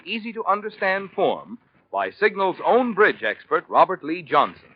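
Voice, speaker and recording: A male 1940s radio announcer with a fairly high, very lively voice. He delivers scripted sponsor messages with polished emphasis on a muffled old recording.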